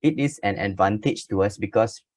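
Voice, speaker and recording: A man's voice: on the low side, moderate pace, a little mellow, no echo. A young man with a Malaysian accent, English not his first language, presenting his choice in a group discussion with frequent hesitant fillers. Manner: hesitant, many filler sounds.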